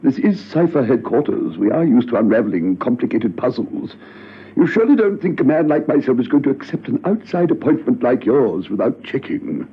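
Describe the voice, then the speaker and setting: An actor playing a suspicious, officious government boss who starts hesitantly in a radio drama. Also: male voice, medium pitch, big pitch swings, brisk, husky. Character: fussy, suspicious male government department boss. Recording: muffled phone-like old radio recording.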